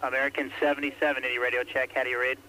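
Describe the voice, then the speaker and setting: A man's voice: mid-range voice, little pitch movement, racing, pushed and bright, rough recording. A man working as an air traffic controller, calling aircraft in terse, clipped radio phrasing. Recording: archival radio recording with faint hiss.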